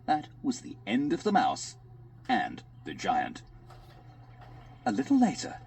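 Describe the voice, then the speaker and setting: A man narrating a children's fairy tale in an expressive, scripted audiobook style. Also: fairly low pitch. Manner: animated, expressive storyteller. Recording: music behind the voice.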